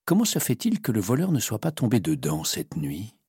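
A man's voice: fairly low pitch, wildly swooping pitch, a little fast, dark tone, husky, no room sound, clean studio sound, voice pushed up, heavily acted. A man voicing a clever, polite male detective who asks courteous, probing questions. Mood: inquisitive. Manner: courteous and inquisitive.

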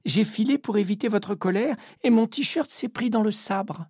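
A woman's voice: medium pitch, normal speed, darkish tone, breathy edge, no echo, studio quality, natural voice. A woman doing a timid stammering cabin boy. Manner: stammering, defensive. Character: timid young male ship's boy.

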